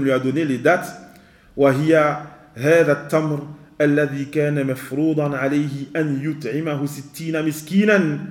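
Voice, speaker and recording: A man teaching a religious lesson in a measured way, repeating his advice and mixing in Arabic phrases. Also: a little high, darkish tone. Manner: mixes in Arabic phrases and recitation. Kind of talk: religious lesson with questions and answers. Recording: echoey room.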